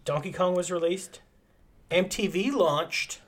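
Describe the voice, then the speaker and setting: Casting: male podcast co-host, fairly high voice, enthusiastic, rambling trivia delivery. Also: a little slow, fairly bright tone. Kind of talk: casual chat about a horror film.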